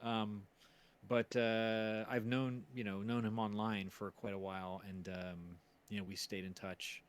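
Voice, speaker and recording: A man hosting a casual livestream chat, telling stories in a rambling, halting way. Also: lowish voice, flat delivery, clean tone. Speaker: stream host and series producer.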